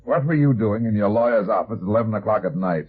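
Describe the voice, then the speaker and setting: Husky hardboiled radio detective. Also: male voice, lowish voice, animated, fast talker. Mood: brisk, questioning. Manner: blunt, terse interrogating. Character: tough, shrewd hardboiled male private detective. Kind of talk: 1950s radio detective drama acting. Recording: muffled, thin vintage 1950s radio recording.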